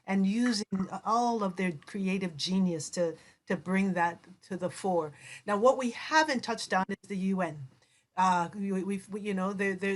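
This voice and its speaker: A woman chairs a panel in a mid-pitched voice. Her phrasing is deliberate and halting as she builds toward her questions. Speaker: panel moderator. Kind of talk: moderating a panel discussion.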